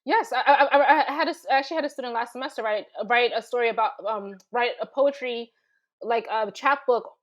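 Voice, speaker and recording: A woman's voice: on the high side, brisk. A woman who teaches writing, talking informally on a panel, emphatic and often rephrasing herself as she explains. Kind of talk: informal panel discussion talk.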